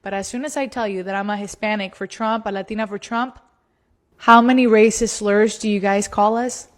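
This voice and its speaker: A woman's voice: medium pitch, steady tone, fairly quick. A woman ranting to camera as a political commentator, mocking and sarcastic.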